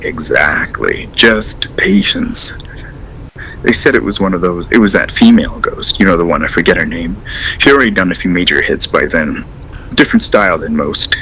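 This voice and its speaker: A man's voice: low-pitched, lively intonation, moderate pace, bright, forceful tone, slight background noise, heavily acted, rough recording. A man acting as a hesitant, rambling caller to a late radio show in an audio drama, earnest and unsettled. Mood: earnest, absorbed. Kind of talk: audio drama, naturalistic phone-in call.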